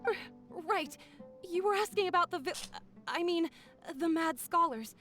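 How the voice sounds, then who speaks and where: Casting: friendly village woman for a fantasy game, high-pitched, earnest, expressive, over soft music. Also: a little slow, full character voice. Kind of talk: video game voice acting.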